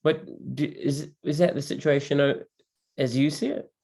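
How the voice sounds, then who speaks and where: A man's voice: fairly high pitch, fairly even intonation, moderate pace, gravelly, a little mellow, husky. A man hosting a podcast interview, reflective and thoughtful, asking long winding questions. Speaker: podcast host. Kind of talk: conversational interview.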